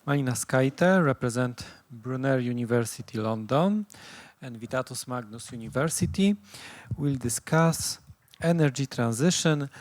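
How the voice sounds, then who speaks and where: Male session chair reading an introduction; slow and deliberate, dry close sound. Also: medium pitch, no echo. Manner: reads slowly with restarts. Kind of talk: introducing a speaker.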